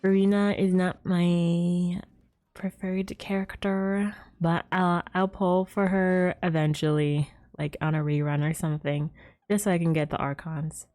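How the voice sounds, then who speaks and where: A woman's voice: lowish voice, flat delivery, measured pace, a little mellow. A woman hosting a casual gaming livestream, chatting with viewers in a bubbly, giggly way. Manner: bubbly greetings, giggles often. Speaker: live streamer host.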